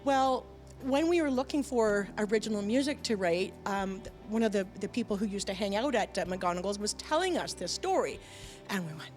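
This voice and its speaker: Chatty middle-aged woman, animated. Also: medium pitch, moderate pace, faint music behind. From Canada. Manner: chatty, animated, many fillers and restarts. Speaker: band vocalist, guest. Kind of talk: casual chat. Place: TV studio with audience.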